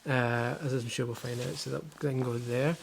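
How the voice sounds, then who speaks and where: A young Scottish man talks casually from his living room, dropping dry jokes as he goes. His voice has a gravelly rasp, and faint noise hangs behind him. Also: medium pitch, steady tone, moderate pace. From Scotland. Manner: dry jokey asides. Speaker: vlogger unboxing a baby box. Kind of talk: casual chat.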